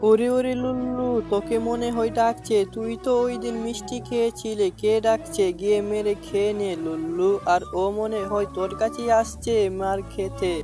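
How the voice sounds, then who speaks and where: An actor voicing Lullu, a greedy, mischievous ghost in a cartoon who loves sweets and mutters to himself. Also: male voice, steady tone, normal speed, pauses often, natural voice. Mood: muttering. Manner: mutters to himself in low tones, then threatens loudly. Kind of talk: cartoon voice acting. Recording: loud background music.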